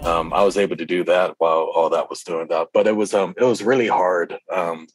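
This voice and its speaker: A middle-aged man in casual interview conversation, speaking as a guest with halting, laid-back, slangy phrasing. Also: low voice, steady tone, music in the background. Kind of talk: casual chat.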